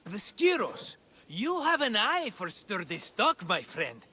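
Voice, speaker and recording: A man's voice: sits high, wildly swooping pitch, slow pace, sharp tone, husky, exaggerated voice. An actor playing a jovial, scheming merchant in a video game cutscene, with a theatrical, wheedling salesman's manner. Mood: flattering salesman. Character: jovial, scheming male merchant and hapless vineyard owner.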